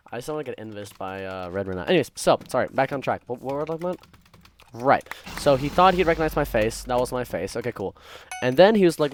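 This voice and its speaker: A young man casually telling stories while gaming, rambling and interrupting himself in a distracted way. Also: mid-range voice. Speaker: gaming content creator. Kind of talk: casual storytelling while playing a game. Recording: faint keyboard typing and clicking noise. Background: computer keyboard typing and clicks.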